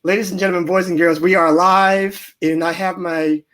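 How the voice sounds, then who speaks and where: A man's voice: sits high, little pitch movement, normal speed, fairly bright tone. Playful man hosting a live interview. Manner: playful, joking, laughs often. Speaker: podcast host.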